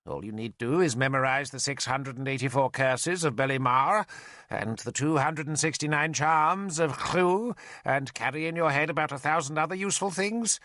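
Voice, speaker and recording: A man playing a blind old druid, a theatrical, emphatic storybook character who rambles half-mad and is quick to take offence. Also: fairly high pitch, sharp tone, dry recording, clean studio sound, voice pushed up. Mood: indignant, lecturing. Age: older.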